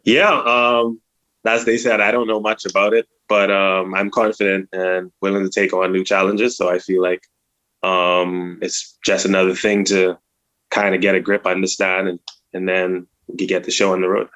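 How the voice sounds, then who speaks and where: A young man from the Bahamas, a graduate student, answering interview questions calmly with many hesitations. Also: deep voice, normal speed, poor audio. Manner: calm, hesitant, many ums. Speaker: student team member.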